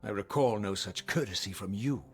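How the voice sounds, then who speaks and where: A man's voice: lowish voice, moderate pace, soft background music, lightly acted. A man playing a tormented, vengeful wraith in a video game, speaking in formal, archaic, solemn phrases. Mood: accusing, defiant. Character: tormented, vengeful male wraith, eloquent and grimly resolute. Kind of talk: dramatic scripted video game narration and dialogue.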